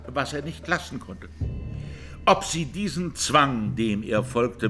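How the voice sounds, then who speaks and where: An actor playing an impassioned, high-minded defence lawyer in a radio play, addressing a jury in solemn, rhetorical style. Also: male voice, fairly bright tone. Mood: solemn, persuasive. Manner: rhetorical, solemn, dwelling on key words. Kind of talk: courtroom closing speech in a radio play.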